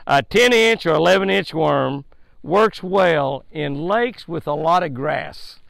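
A man's voice: fairly high pitch, takes it slowly, creaky, fairly bright tone, no echo. An older man hosts a daily devotional with a fishing tip, preaching in a folksy, emphatic way and repeating his points, recorded outdoors by a lake on a headset mic. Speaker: fishing show host.

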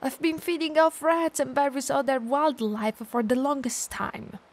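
A woman's voice: sits high, fairly even intonation, moderate pace. A woman playing a playful, clumsy, bloodthirsty female vampire who mutters theatrically to herself in an ASMR audio roleplay. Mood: musing, wistful.

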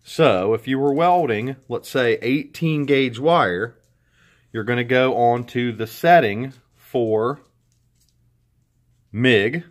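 A middle-aged man hosting a casual how-to walkthrough in his garage, relaxed and plain-spoken, with a few restarts as he explains. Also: medium pitch, slow. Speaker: host. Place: indoor garage.

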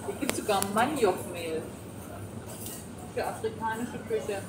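A woman's voice: medium pitch, animated, unhurried. A woman chatting casually as she shops, recorded from a distance inside a supermarket. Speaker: shopper. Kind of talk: casual chat while shopping. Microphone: ambient recorder, away from speakers. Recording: distant, echoey, noisy supermarket ambience. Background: loud store noise.

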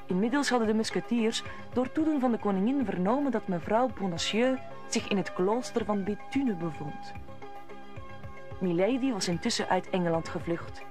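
A woman's voice: mid-range voice, darkish tone, lightly acted. A woman narrator telling the story in an old Dutch radio drama, in scripted storytelling style.

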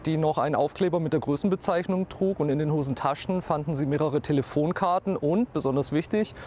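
High-pitched man retelling a crime case. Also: darkish tone, slight background noise. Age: 30s or 40s. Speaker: true-crime TV expert. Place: outdoors in town. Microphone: lapel mic.

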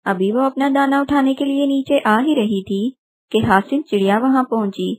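A woman's voice: high-pitched, normal speed. A woman narrating a scripted children's story.

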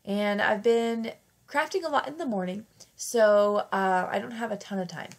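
A woman with a slight cold talks through her craft project in a chatty, easygoing voice-over. Her voice has a gravelly, creaky edge, and she often corrects herself mid-sentence. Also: medium pitch, moderate pace. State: fighting off a cold. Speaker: craft channel host. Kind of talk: casual craft tutorial voice-over.

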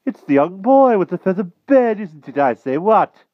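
A man voicing a flustered, excitable, old-fashioned gentleman king in a storybook, politely exclaiming with posh verbal tics. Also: high-pitched, normal speed, no echo, dull audio, voice pushed up. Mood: excited greeting.

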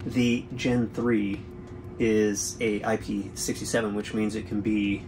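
A man's voice: on the low side, little pitch movement, slow, noisy background. Casual middle-aged man reviewing gear. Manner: easygoing, explanatory, frequent fillers. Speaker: boating channel host. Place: boat cabin with windows.

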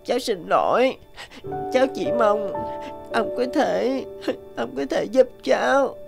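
Earnest little boy, high-pitched. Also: lively intonation, slow pace, creaky, full character voice. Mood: timid, earnest. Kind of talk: cartoon voice acting. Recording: music bed behind the voice.

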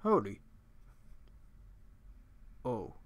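Low, gentle old monster king. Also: wildly swooping pitch, exaggerated voice. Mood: gentle sadness. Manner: soft, measured, kindly. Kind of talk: video game character impressions.

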